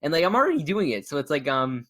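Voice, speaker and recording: A man's voice: a little high, sing-song, fast talker, fairly bright tone. A man chatting casually as a podcast host and YouTuber, in a rambling, stop-start way with frequent stammers. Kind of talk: casual chat interview.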